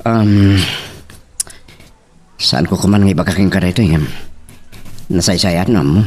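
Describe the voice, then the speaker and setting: A man's voice: sits low, unhurried, a little mellow, distant mic. A man playing a hot-headed young man in an Ilocano romance drama, with an Ilocano accent. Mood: troubled.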